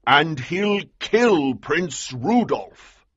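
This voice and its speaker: A man voicing a bold, commanding army colonel in an audiobook, with a husky voice and an urgent, emphatic delivery. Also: on the high side, sing-song, very slow, fairly bright tone, no echo, highs cut off, pitched above the performer's own voice, full character voice. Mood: insistent. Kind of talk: audiobook character dialogue.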